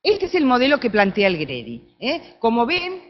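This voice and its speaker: Argentine woman giving a conference talk, kinda high animated voice, dull lo-fi recording. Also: moderate pace. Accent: Argentine Spanish. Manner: animated, with frequent tag questions. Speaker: conference speaker. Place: conference room.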